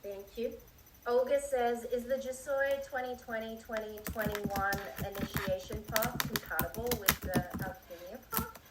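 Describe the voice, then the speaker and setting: A woman's voice: on the high side, steady tone, a little slow, background noise. Woman reading out questions, distant and echoey. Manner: reads questions out, short affirming murmurs. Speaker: moderator reading audience questions. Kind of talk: reading viewer questions aloud. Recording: echoey room, distant mic, faint crickets.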